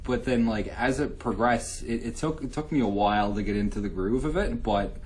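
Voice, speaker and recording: Chatty man, relaxed, lower voice. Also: brisk. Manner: relaxed, filler-heavy, upbeat. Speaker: campus radio show co-host. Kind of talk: casual interview chat.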